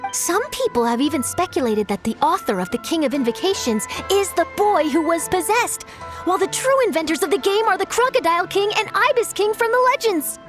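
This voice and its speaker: A woman's voice: very high-pitched, lively intonation, brisk. A woman voicing an eager, polite young female journalist in a video game, chatty and earnest. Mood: enthusiastic. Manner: bright-eyed, chatty, earnest. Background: game music.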